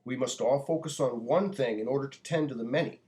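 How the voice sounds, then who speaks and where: An American man delivering a prepared public address in a deliberate, earnest, preaching manner. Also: mid-range voice, mellow. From United States. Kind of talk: earnest prepared monologue, oratory.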